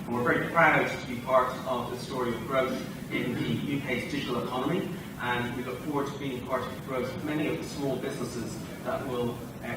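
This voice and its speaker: Irish man speaking in an echoey hall. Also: mid-range voice. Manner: measured, frequent uh fillers. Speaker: tech company executive panelist. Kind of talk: conference panel speech. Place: large hotel conference hall. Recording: echoey hall, distant mic, noisy.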